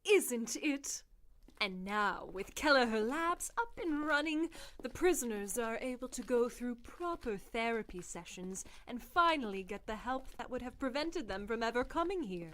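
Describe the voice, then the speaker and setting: A woman's voice: fairly high pitch, animated, normal speed, a bit bright. A woman playing a genteel, theatrical guide of a sinister prison circus in an audio drama, with a grandly formal delivery. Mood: smoothly gracious.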